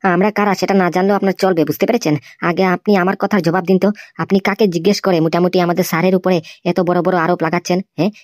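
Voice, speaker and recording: A woman's voice: fairly low pitch, steady tone, very fast, a little mellow, no room sound, studio quality, close to an everyday voice. A woman voicing an indignant, fiercely loyal cartoon employee, insistent and confrontational, full of tag questions. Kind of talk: amateur cartoon dialogue.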